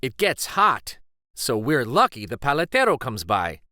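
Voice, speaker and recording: Bright, animated male storyteller, unhurried. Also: medium pitch, no echo, studio quality. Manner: deliberate, animated storytelling delivery. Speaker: children's read-aloud storyteller. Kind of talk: expressive picture book narration.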